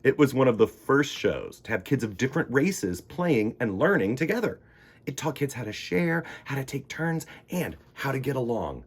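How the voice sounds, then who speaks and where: A man reading a children's picture book aloud in the first person, theatrical, sometimes dropping into hushed emphasis. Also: mid-range voice, sing-song, normal speed, slightly rough. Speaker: children's storytime reader.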